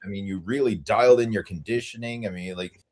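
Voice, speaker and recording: A man's voice: on the low side, brisk, a little mellow. A man hosting a fitness podcast, talking in an easygoing, friendly way with fillers. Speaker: podcast host. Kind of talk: casual interview talk.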